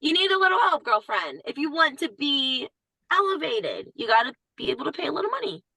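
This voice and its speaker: A woman's voice: sits high, very animated, fairly quick, creaky, sharp tone, no room sound, rough recording. A woman chatting casually, giving blunt opinions and saying "like" a lot, with a Southern accent that comes out at times. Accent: Southern US, surfaces at times. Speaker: guest commentator. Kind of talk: casual chat.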